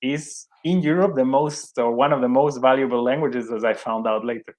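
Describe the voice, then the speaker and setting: A man's voice: medium pitch, fairly even intonation, normal speed, a little mellow. A young man speaking English as a second language, a guest in an interview, talking with many fillers and restarts. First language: not English. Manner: hesitant, many fillers and restarts, laughs at himself. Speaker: interview guest, sports event manager. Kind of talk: conversational interview.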